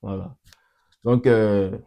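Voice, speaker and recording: A young man hosting his channel and talking through a coding demo in a casual way, with a cold that makes his voice a bit off. He repeats himself often and fills pauses with hesitations. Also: on the low side, fairly even intonation, gravelly, mellow. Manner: repeats himself, many fillers and restarts. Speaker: channel host. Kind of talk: casual screen-recorded coding walkthrough.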